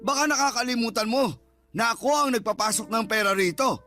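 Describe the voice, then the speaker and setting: A high-pitched, domineering father. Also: a little fast, bright, forceful tone, faint music behind. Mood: angry, belittling. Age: middle-aged. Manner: scornful, scolding, argumentative. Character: domineering, insulting, lazy middle-aged father and husband. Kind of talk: Tagalog radio drama acting.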